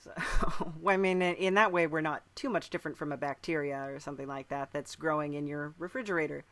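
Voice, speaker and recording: A man hosting a casual conversational interview, agreeable and halting, often repeating his phrases. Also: a little high, fairly even intonation, bright, forceful tone. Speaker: podcast host, interviewer.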